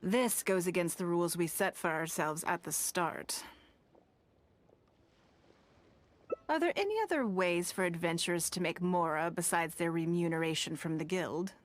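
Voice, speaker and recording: Woman acting rich elegant businesswoman from a video game, lowish voice, formal n composed, some noise behind. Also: moderate pace, few pauses, natural voice. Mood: composed, businesslike. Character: poised, shrewd wealthy businesswoman, composed and self-assured.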